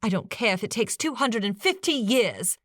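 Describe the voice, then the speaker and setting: A woman's voice: a little high, animated, normal speed, dry recording, clean studio sound, voice pushed up. A woman voicing a furious, righteous colonial woman in an audiobook, commanding and emphatic as she pronounces judgment. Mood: indignant, angry.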